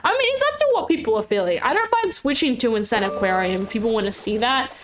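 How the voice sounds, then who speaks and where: Woman, bubbly streamer chat, very animated, slight sore throat. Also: a little high, fast, slight background noise. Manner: bubbly, chatty, frequent squeals and laughs. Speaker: VTuber streamer. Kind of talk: casual live-stream chat.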